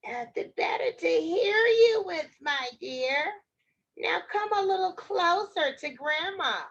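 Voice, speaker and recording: A woman's voice: extremely high pitch, expressive, takes it slowly, fairly bright tone, lo-fi sound, pitched above the performer's own voice, exaggerated voice. A woman voicing a sly storybook wolf posing as a sickly old grandmother, in a scratchy voice. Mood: coaxing.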